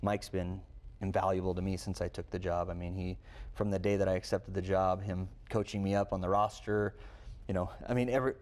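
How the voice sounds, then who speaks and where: A man's voice: low-pitched, little pitch movement, a little fast, a little mellow. A man speaking as a college coach in an interview, conversational and earnest, building points through repetition. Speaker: college baseball head coach, interview guest. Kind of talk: unscripted interview answers.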